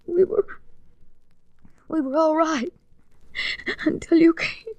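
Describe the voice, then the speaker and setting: A woman's voice: very high voice, slow, vocal fry, darkish tone, dry recording, lo-fi sound, heavily acted. An actress playing an earnest, sheltered young woman, tender and anxious, in an emotional 1940s radio melodrama. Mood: distraught. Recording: restored vintage 1940s radio recording.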